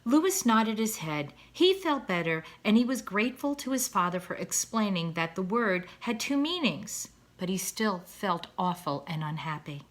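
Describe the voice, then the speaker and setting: Animated woman reading a storybook. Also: mid-range voice. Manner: expressive, deliberate. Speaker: teacher reading aloud to children. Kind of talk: storybook narration.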